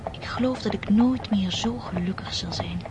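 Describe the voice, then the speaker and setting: An actress in a Dutch radio play, playing a restless, romantic young countess in a breathless, emotional way. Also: female voice, mid-range voice, moderate pace, natural voice. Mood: dreamy. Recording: noisy old radio play recording.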